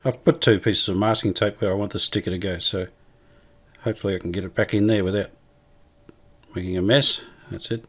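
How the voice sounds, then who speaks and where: Male repair vlogger, low pitch, casual and unscripted, plain matter-of-fact delivery. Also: fairly even intonation, brisk, a bit bright, breathy edge. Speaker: restorer presenting a hands-on vintage radio repair. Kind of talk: casual workbench commentary.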